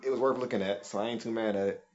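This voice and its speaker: A man's voice: lowish voice, fairly even intonation, racing, dull audio. Laid-back podcast host, man. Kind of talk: casual chat.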